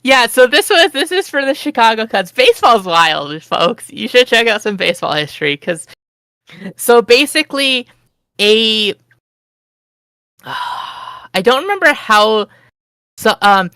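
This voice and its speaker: A woman telling a rambling story on an online call, excitable and jumping up in pitch. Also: takes it slowly, clear, resonant tone, dry recording. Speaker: group chat participant. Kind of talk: casual storytelling chat.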